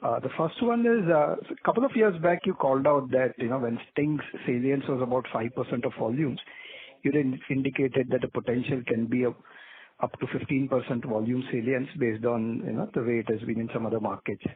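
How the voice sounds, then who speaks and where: A man asking questions as an analyst on an earnings call, with halting, searching phrasing. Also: medium pitch, breathy edge. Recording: tinny phone line.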